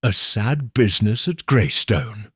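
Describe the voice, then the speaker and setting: A man plays a village vicar in a storybook mystery, sorrowful and confiding. He speaks slowly, in a somewhat husky voice. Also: lowish voice, very animated, dry recording, studio quality, heavily acted. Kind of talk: audiobook character reading.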